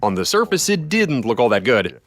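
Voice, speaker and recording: A man's voice: mid-range voice, sing-song, normal speed, soft background music. A man narrating a workshop video in a plain, matter-of-fact way, with small hesitations as he explains each step.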